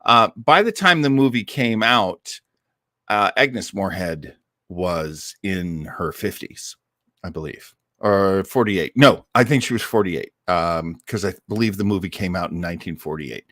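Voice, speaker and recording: A man's voice: low-pitched, lively intonation, measured pace, sharp tone, no echo. Chatty man hosting with a close, dry sound. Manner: chatty, enthusiastic, digressive. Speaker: host of an old-time radio listening party. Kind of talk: casual commentary to a live audience.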